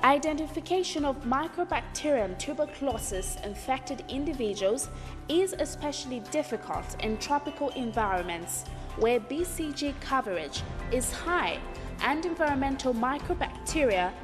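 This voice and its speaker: A woman reading scripted documentary narration in a formal, measured way. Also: high voice, animated, in a large hall. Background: clear music.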